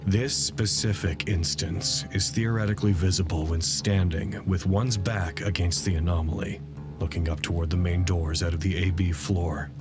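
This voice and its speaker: Audio drama scientist played by a man: low male voice, measured, dry, deliberate log narration over music. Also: steady tone, lightly acted. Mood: measured, clinical. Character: measured male scientist recording logs about an anomaly.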